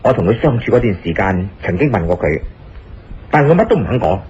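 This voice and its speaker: A man's voice: on the low side, sing-song, fairly quick, a little mellow, muffled recording, slight background noise. A man playing an anxious, unstable murder suspect who pleads, in a Cantonese radio drama. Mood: insistent.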